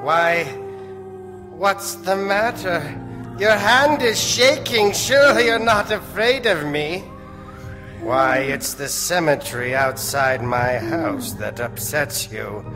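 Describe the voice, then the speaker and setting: Macabre horror host, ominous, very slow, theatrical, old-time radio, reverberant hall. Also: male voice, fairly high pitch, expressive. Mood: eerie, mock-welcoming. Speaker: host and storyteller of a horror serial. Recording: reverberant stage hall, music behind.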